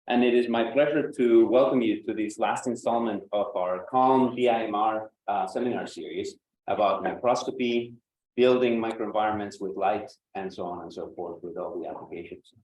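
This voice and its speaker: Lower-voiced man introducing a speaker. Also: darkish tone. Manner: measured, with filler sounds. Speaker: professor and seminar host. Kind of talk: formal introduction. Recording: distant mic in an echoey room with faint noise.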